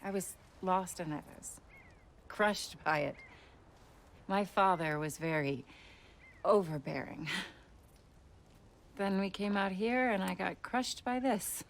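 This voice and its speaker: An actress playing an educated, earnest, self-deprecating genteel young widow in a video game, speaking in a refined, articulate way. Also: female voice, medium pitch, slow pace, long pauses, a little hiss or hum. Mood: reflective, rueful. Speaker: voice actor. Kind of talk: cinematic video game acting.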